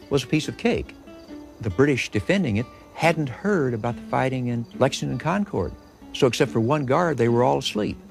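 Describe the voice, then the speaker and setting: An American man speaking as a history expert in a documentary interview, emphatic and deliberate in his phrasing. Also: mid-range voice, gravelly.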